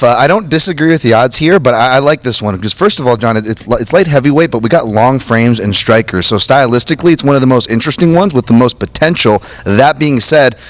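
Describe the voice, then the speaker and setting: Quick-talking male fight analyst, dry sound. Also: mid-range voice, dry recording. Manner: conversational, hedging with fillers. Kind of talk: fight analysis panel chat.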